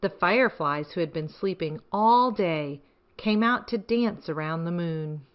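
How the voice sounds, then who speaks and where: A woman's voice: lowish voice, expressive, measured pace, reverberant, muffled recording. A woman hosting a children's storytime, reading aloud expressively and chatting enthusiastically with young listeners. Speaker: children's storytime host and reader.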